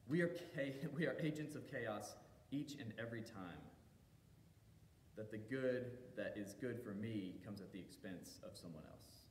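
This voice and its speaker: Echoey hall with faint rumble, male preacher, sermon delivery, measured storytelling, deliberate dramatic pauses and emphasis. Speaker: pastor.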